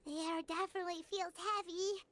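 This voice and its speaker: A tiny childlike companion from a video game, extremely high and squeaky. The little sidekick is curious and excitable, wide-eyed and exclamatory in every phrase. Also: female voice, a little fast, a little hiss or hum. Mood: puzzled. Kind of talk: scripted video game voice acting.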